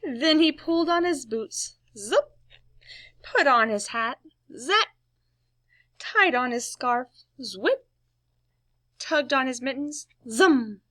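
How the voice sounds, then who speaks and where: Female children's storybook reader: very lively intonation, slow pace, playful and expressive, close dry sound. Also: sits high, no room sound. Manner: playful, emphatic sound words. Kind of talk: expressive read-aloud storytelling for young children.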